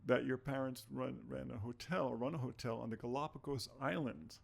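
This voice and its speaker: A man's voice: medium pitch, fairly even intonation, moderate pace, gravelly. A middle-aged man hosting an interview show, chatty, thinking aloud and repeating words as he goes. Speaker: show host. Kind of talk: interview host.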